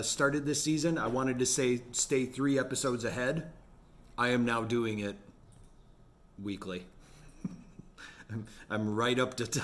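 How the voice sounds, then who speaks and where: A man in his forties chatting casually in a vlog about his day at home. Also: mid-range voice. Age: 40s. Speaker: vlogger running an online resale business. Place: home office with bookshelves.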